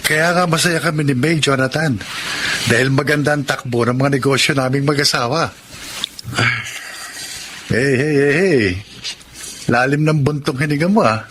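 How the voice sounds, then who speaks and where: A man's voice: mid-range voice, normal speed, long pauses, over music, natural voice. A man playing a kindly, trusting husband with an easygoing, sympathetic way in a Tagalog radio drama. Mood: concerned. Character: kindly, trusting husband and small business owner.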